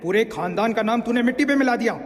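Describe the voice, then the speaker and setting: A man's voice: fairly high pitch, unhurried, darkish tone, close to an everyday voice. An Indian man acting a stern, scolding older father or boss in a social-awareness drama. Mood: angry, ashamed. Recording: roomy hall with long reverb.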